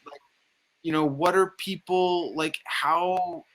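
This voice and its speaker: A man's voice: sits high, steady tone, slow pace, a crowd in the background. A man in a casual film discussion, speaking emphatically and repeating phrases to press his points. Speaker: film review podcast panelist.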